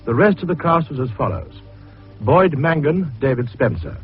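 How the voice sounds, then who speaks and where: British male continuity announcer, formal 1950s delivery, muffled recording over soft music. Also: on the high side, lively intonation, moderate pace. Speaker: radio announcer.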